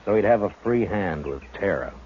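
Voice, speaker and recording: An actor playing a stern US Marshal of the Old West in a 1950s radio western, with an American accent and terse, measured delivery. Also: male voice, lowish voice, lively intonation, close to an everyday voice. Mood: grim, knowing. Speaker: lead actor, also narrates the story. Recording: muffled, noisy 1950s radio recording.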